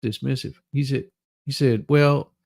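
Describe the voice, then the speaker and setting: A man giving a mock dramatic reading with crude comic asides, in a halting, folksy, stammering delivery. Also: medium pitch, steady tone, creaky, darkish tone. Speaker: livestream host.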